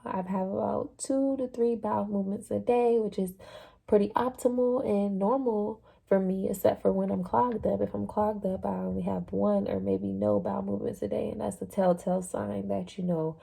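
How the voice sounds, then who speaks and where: A young adult woman talking casually about her experience in a personal vlog, relaxed and chatty with plenty of fillers. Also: medium pitch, normal speed, vocal fry, breathy edge. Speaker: vlogger sharing a fasting journey.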